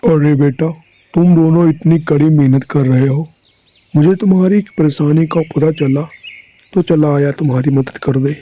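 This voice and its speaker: A man's voice: on the high side, steady tone, moderate pace, warm tone, lightly acted. A man playing a kindly, pious elderly villager who gives counsel in a cartoon story. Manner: benevolent, counselling.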